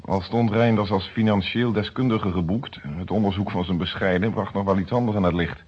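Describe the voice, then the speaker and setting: An actor playing an earnest, thoughtful police inspector in a radio play, speaking formally on a muffled old recording. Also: male voice, on the low side, steady tone, brisk, breathy edge. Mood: businesslike. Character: earnest police inspector working a murder case.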